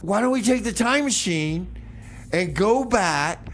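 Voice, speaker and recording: A man's voice: high voice, expressive, unhurried, slight background noise. A male radio host with a folksy, rambling manner, bantering between songs and comedy bits. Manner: folksy, rambling, repeats phrases.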